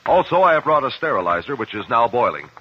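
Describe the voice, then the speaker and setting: A muffled old radio drama recording of a composed male investigator. He has a slightly rough voice and an American accent, and he questions formally and precisely, weighing each phrase. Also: mid-range voice, very animated, fast. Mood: calm, probing. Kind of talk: 1950s radio drama acting.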